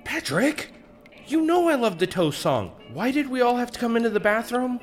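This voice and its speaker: A man playing an excitable, oblivious friend in a comic audio drama. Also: very high-pitched, normal speed, loud music behind the voice.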